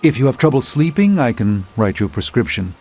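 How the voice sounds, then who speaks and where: Pompous sorcerer, thin phone-like sound. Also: male voice, medium pitch, very animated, a little fast, background noise. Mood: dry, composed. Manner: pompous, theatrical, ornate diction. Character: erudite, pompous adult male sorcerer, dryly witty. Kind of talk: video game voice acting. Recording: muffled phone-like band, music behind.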